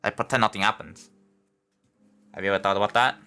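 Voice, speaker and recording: A man's voice: lowish voice, fairly even intonation, quick pace, bright, forceful tone, clean tone, faint music behind. A young man chatting casually on a live stream at home, rambling and laid-back, saying like and bro a lot. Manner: laid-back, rambling, many likes and bros. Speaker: livestreamer building a game level.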